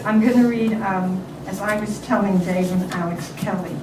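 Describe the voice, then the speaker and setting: A woman reading a comic narrative poem aloud at a live event, with deliberate phrasing, dramatic pauses and wry emphasis. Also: mid-range voice, steady tone. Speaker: poetry reader at a tribute event. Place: indoor performance venue. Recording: roomy, noisy live venue, distant mic.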